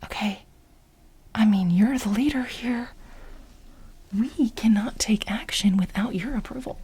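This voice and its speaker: A woman playing an obsessive, exasperated administrative assistant in a soft-spoken, whispery ASMR role play, insistent and repeating herself as she pleads with her boss. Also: on the high side. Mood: urgent pleading. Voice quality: breathy, whispery. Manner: insistent, repetitive, halting for emphasis.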